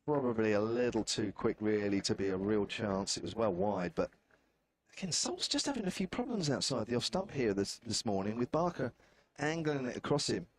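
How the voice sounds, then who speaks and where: A man's voice: lowish voice, sing-song, normal speed. Chatty man with a southern English accent. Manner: chatty, wry, self-deprecating. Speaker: cricket commentator. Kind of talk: live ball-by-ball cricket commentary. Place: outdoor commentary position at a cricket ground.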